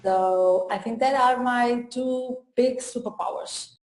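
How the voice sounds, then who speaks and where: Woman, from Portugal, unhurried, halting speech, roomy echo. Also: fairly high pitch, a little mellow. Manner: slow and hesitant, many fillers and pauses. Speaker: trainer and coach. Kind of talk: casual interview talk. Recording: echoey room.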